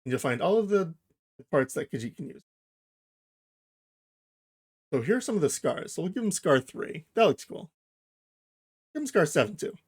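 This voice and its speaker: Casual male software tutorial host. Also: on the high side, big pitch swings, normal speed, pauses often, slightly rough. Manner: informal, explains step by step while thinking aloud.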